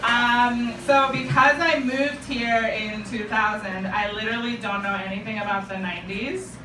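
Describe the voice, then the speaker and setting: A woman's voice: a little high, fairly even intonation, normal speed, background noise. A young woman from Japan, Japanese her first language, doing a chatty, self-deprecating stand-up set and bantering with a live club audience. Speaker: stand-up comedian. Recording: roomy, distant live club recording.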